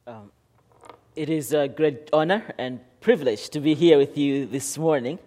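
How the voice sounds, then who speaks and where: A man from Malawi, a guest pastor, leading a church service in an earnest, halting way with frequent fillers. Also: on the high side, dark tone.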